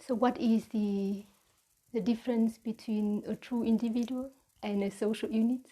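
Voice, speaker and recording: Mellow, even-toned Vietnamese-accented woman. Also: fairly high pitch, rough recording. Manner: slow, halting, deliberate phrasing. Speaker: meditation teacher. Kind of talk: reflective mindfulness talk.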